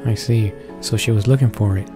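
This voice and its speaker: A man's voice: lowish voice, fairly even intonation, a little fast. A man reading a visual novel's narration aloud, stumbling a little over the words. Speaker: video game let's player. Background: faint music.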